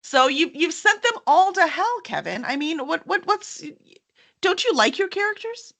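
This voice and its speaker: A woman's voice: very high voice, sing-song, normal speed, a bit bright. A woman hosting a casual live-streamed book chat in an enthusiastic, gushing manner. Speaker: host of a book cover reveal.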